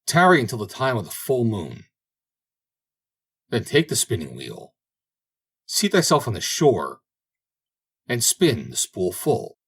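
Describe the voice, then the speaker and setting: A man reads as an old wise woman of fairy tale. She instructs solemnly in a husky voice that rises and falls widely, each phrase measured. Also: lowish voice, huge pitch swings, exaggerated voice. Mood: consoling, instructing. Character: wise old woman, calm magical helper. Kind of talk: storybook character voice.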